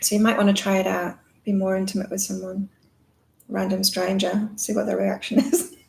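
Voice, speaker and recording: A woman hosting a live psychic card-reading chat with a calming voice, talking in a rambling, reflective way with many fillers. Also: medium pitch, moderate pace, creaky, rough recording. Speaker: psychic reader and live-stream host. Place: in Thailand.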